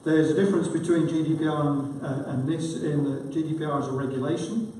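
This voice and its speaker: A man giving a conference presentation, his voice croaky from a cold, speaking informally with fillers. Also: on the high side, steady tone, fairly quick, dark tone, slight background noise. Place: large conference hall. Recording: echoey hall, distant mic.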